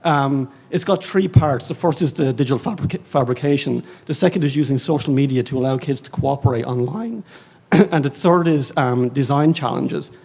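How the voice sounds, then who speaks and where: An Irish man speaking as a librarian on a conference panel, rambling and animated, with many fillers and self-mocking asides. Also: mid-range voice, moderate pace, gravelly. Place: conference stage.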